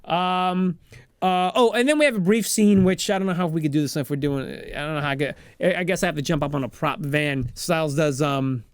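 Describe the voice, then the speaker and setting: A man hosting a podcast, telling a story in a halting, animated, rambling comic recap. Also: fairly high pitch, clean tone, dry recording, clean studio sound. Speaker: podcast host.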